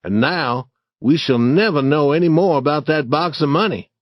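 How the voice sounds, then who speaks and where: A man's voice: on the high side, normal speed, no echo, highs cut off, voice pushed up, lightly acted. A man reading a story, voicing a nervous, superstitious young boy who hesitates and stammers. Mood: wary.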